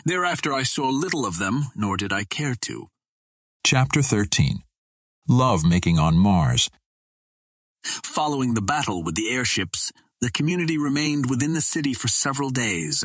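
A man reading a literary adventure story as an audiobook narrator, in a measured, formal storytelling delivery. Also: fairly low pitch, a bit bright, breathy edge, dry recording.

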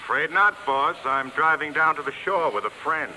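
An actor playing a smooth, cocky male vaudeville singer in 1950s radio drama, soft-spoken, coolly teasing and self-possessed. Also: a little high, moderate pace, low-quality recording. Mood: offhand. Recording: thin, hissy old radio broadcast.

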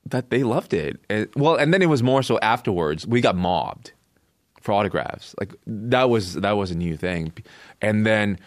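A man with a Canadian accent telling a personal story casually as an interview guest, in short halting phrases. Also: fairly low pitch, lively intonation, no room sound, clean studio sound. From born in Korea, raised in Canada.

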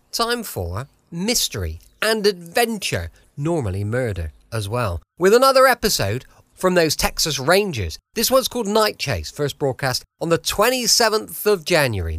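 A man's voice: a little high, a bit bright, no room sound. An English man chatting effusively as he introduces his podcast as host. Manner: effusive, chatty, repeats himself. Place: at home, dry close recording.

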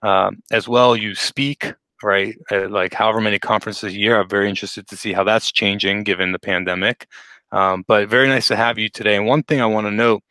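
A man's voice: lowish voice, steady tone, fairly quick, bright, forceful tone, no echo. A man hosting a remote podcast interview, talking casually with many fillers and an upbeat manner. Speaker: podcast host. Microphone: remote call microphone.

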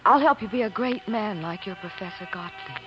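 An actress playing a tender, devoted young wife in a 1940s radio drama. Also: female voice, mid-range voice, animated, a little fast, natural voice. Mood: tender, resolute. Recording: dull 1940s radio recording, music behind.

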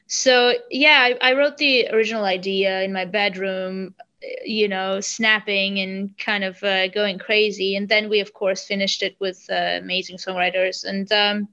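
Soft-spoken young Armenian woman. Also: medium pitch, fairly even intonation, lo-fi sound. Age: young adult. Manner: halting, searching for words, many restarts. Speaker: singer. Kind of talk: casual interview.

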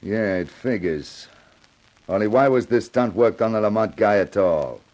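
An actor playing a cool, tough gangster boss in a 1950s radio crime drama, terse, skeptical and slangy. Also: male voice, fairly low pitch, animated, a little slow, a little mellow.

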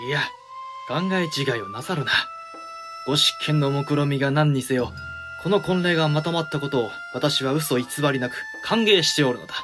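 Man acting dignified samurai clan head, higher-pitched. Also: normal speed, music in the background, lightly acted. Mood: sincere. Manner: stately, formal archaic speech. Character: dignified samurai clan head, frank protective elder brother. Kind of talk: Japanese period audio drama acting.

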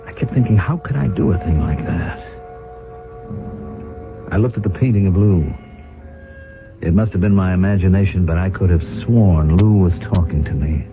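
A man's voice: sits low, normal speed, pauses often, music in the background. An actor playing a weak, anxious crooked businessman in a 1970s radio drama, defensive and agitated. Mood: brooding. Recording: noisy, phone-like old radio recording.

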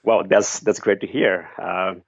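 Middle-aged guy, earnest reflective telling, lowish voice, dry muffled sound. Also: steady tone, unhurried, gravelly, slightly rough, no echo. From Bangladesh. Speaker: guest, nonprofit founder. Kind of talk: interview answers.

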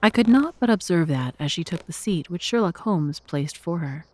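A woman giving measured, formal narration of a scripted literary story. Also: fairly low pitch, lively intonation, a little mellow, no echo.

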